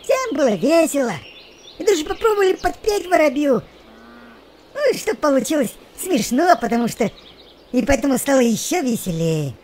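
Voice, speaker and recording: A woman narrating a scripted children's story in an expressive, animated way. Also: high voice, normal speed. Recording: noticeable background noise with birdsong. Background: birdsong and nature ambience.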